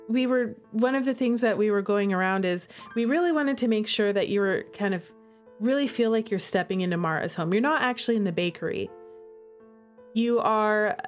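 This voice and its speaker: A woman streamer chatting casually and playfully through commentary on her own game, stressing her points with little tag questions. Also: medium pitch. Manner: playful, giggly, emphatic; repeats "okay?" and "all right?" as tags. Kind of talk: casual livestream commentary and chat. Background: background music.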